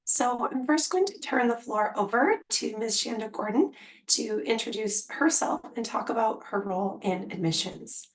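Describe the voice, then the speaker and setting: A woman's voice: fairly high pitch, expressive, moderate pace, low-quality recording. A woman who has lost much of her voice, hosting an online information session as an associate dean and enunciating carefully over a video call. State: losing her voice.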